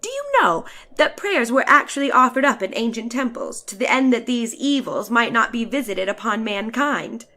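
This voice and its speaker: A woman giving a dramatic, expressive audiobook reading of a satirical story in letters, stretching some phrases for effect. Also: fairly high pitch. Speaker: narrator of a classic short story.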